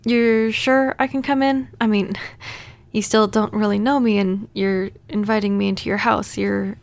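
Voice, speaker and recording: A woman playing a gentle, apologetic vampire neighbor in a soft-spoken, hesitant ASMR audio roleplay. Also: mid-range voice, fairly even intonation, normal speed, gravelly, dry recording, close to an everyday voice. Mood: nervous, pleading. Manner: hesitant, stammering, earnest.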